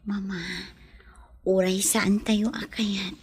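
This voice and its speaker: A woman playing a frail, gentle, pregnant young wife in a radio drama, speaking weakly and haltingly in a breathy whisper. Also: medium pitch, little pitch movement. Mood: weak, tender. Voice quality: breathy, husky, gravelly. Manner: weak, halting, often whispering. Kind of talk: Ilocano radio drama acting.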